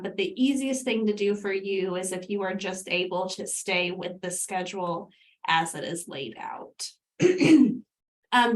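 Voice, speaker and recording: A woman's voice: mid-range voice, recorded from a distance, poor audio. A woman with a congested voice giving a friendly orientation presentation over a call through headphones, with frequent ums. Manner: friendly, uses many ums. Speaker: academic advisor.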